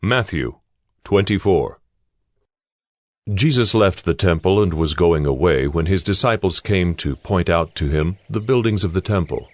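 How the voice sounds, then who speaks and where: Male narrator, low-pitched, scripted scripture reading, dry studio sound. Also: expressive, unhurried, dry recording.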